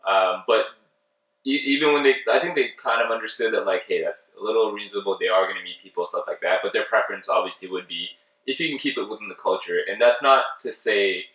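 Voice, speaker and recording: A man's voice: lowish voice, fast talker, sharp tone. A young man chatting casually and hesitantly, full of "like" and "um". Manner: hesitant, many "like" and "um", restarts. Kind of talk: casual chat. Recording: roomy, mic at a distance.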